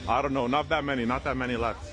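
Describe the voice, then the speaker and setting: A man from Canada chatting casually with strangers on the street, friendly and asking quick questions. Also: medium pitch, steady tone. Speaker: street interviewer. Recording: street clip with loud music over it.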